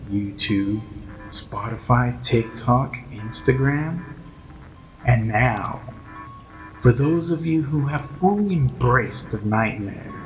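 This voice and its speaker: A man hosting a horror story series, narrating a script in a theatrical, ominous, deliberate manner as a sinister keeper of shadows. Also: mid-range voice, gravelly, muffled recording. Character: sinister keeper of shadows addressing his followers.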